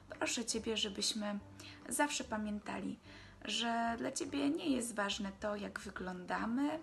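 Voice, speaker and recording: Creaky-voiced young woman leading prayer. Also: mid-range voice. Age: 20s. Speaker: prayer leader for children. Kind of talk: simple spoken prayer. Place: living room indoors, armchair.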